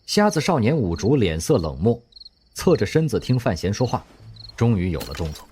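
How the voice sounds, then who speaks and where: A man narrating an audio drama in an expressive storytelling manner. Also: on the low side, normal speed, faint music behind.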